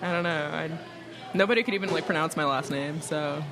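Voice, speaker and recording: Young woman, deep voice, hesitant and giggly, busy bar crowd behind. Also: brisk, poor audio. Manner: hesitant, laughs often, lots of "like". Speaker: guest musician. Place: bar during happy hour.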